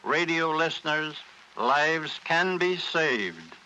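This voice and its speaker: A man reading a solemn scripted charity appeal on 1940s radio in emphatic, clipped, deliberate phrases. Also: fairly high pitch, animated, sharp tone, lo-fi sound. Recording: hissy 1940s radio recording.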